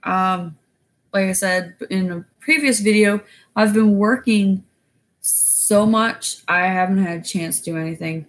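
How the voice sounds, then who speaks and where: A woman with a raspy voice chatting casually on a livestream, rambling with many fillers. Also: mid-range voice, unhurried. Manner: rambling, loose, lots of fillers. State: winded after exercising. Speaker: YouTuber going live.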